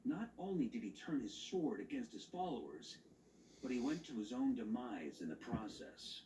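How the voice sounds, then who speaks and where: An actor playing a dutiful, calm knight commander in a game, speaking in measured, formal, solemn exposition. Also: male voice, medium pitch, reverberant, distant mic, slight background noise, natural voice, rough recording.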